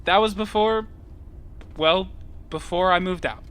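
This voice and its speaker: A man's voice: high-pitched, a little slow, fairly bright tone, clear, resonant tone. A young man playing an earnest, wounded teenage outcast boy in an audio drama. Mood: guarded. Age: young adult.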